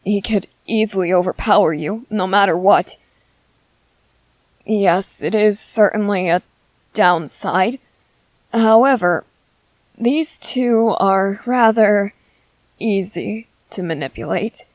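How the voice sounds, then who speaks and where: A woman voicing a gentle, shrewd teenage boy soldier in an intimate ASMR roleplay, speaking thoughtfully and hesitantly, as if quietly plotting. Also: mid-range voice, no echo, close to an everyday voice. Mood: analytical. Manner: hesitant, thoughtful, halting phrasing with soft ums. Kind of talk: ASMR character roleplay, intimate one-sided dialogue.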